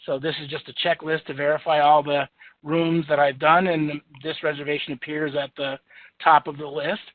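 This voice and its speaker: A man with a booming voice walking through a software demo in an easygoing, chatty way with lots of fillers. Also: little pitch movement, moderate pace, dry recording. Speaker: software demonstrator. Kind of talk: software screen-share demo. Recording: tinny phone-like audio, dry.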